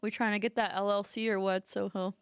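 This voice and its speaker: A woman in her thirties chats and rambles on a podcast, tossing in "like" constantly and giggling easily. Her voice is very smooth and resonant. The recording is close and dry. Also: medium pitch, flat delivery, fairly quick, no room sound. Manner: chatty, rambling, giggly, with many "like"s. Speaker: podcast host. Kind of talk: casual podcast chat.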